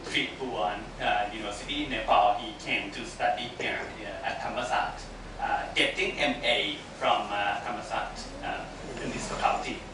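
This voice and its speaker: A man giving a hesitant formal introduction of a guest speaker, halting and full of fillers. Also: on the low side, distant mic. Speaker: faculty host introducing a speaker. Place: echoey lecture room. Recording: echoey hall, picked up from afar, noisy.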